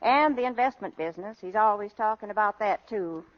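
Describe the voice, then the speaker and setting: A woman's voice: medium pitch, expressive, a little fast, natural voice. An actress playing a middle-aged mother, chatty, exclamatory and scornful, in an old radio comedy. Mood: scornful gossip. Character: middle-aged mother, gossipy, scornful of daughter's boastful suitor. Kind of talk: 1930s-40s radio comedy acting. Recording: dull old radio broadcast recording.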